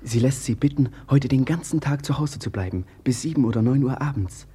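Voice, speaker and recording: An actor playing Kolya, an eager young messenger lad, in a 1950s radio play. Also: male voice, mid-range voice, fairly quick, mellow, breathy edge. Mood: hurried.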